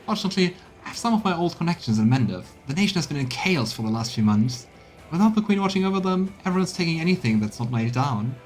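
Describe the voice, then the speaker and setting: Man voicing a high-pitched, crafty one-eyed quartermaster. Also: lively intonation, fast talker, darkish tone, soft background music, close to an everyday voice. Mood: gleeful scheming.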